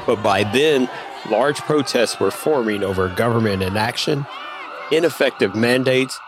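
A man's voice: mid-range voice, unhurried, crowd noise, noisy background. A man reading scripted dramatic fiction as a story narrator.

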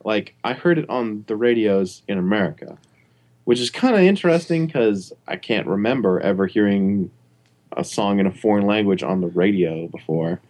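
A man's voice: lowish voice, expressive, moderate pace, a little mellow. A man in casual conversation, easygoing and thinking aloud as he talks. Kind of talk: casual chat.

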